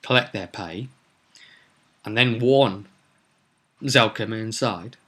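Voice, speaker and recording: A man's voice: lowish voice, slow pace, bright, forceful tone. A man hosting a casual solo vlog as a game master, explaining his plans for a tabletop game in an emphatic way.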